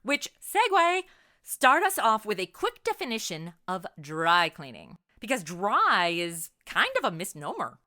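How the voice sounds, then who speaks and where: A woman's voice: mid-range voice, huge pitch swings, measured pace, bright, forceful tone. A woman hosting a podcast with playful, quippy, semi-scripted comedic interview banter. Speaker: podcast host.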